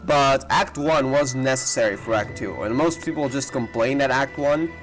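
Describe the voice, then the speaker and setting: A man's voice: mid-range voice, moderate pace, low-quality recording. A man doing casual playthrough commentary, thinking aloud and speculating as he reacts. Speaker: gaming video creator. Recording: voice over background game music.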